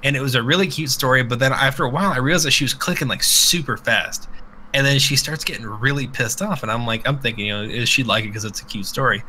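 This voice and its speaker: A man's voice: mid-range voice, quick pace, sharp tone, slight background noise, rough recording. A man co-hosting a casual podcast, telling rambling stories in a crude, profane way. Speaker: podcast co-host. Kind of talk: casual podcast banter and storytelling.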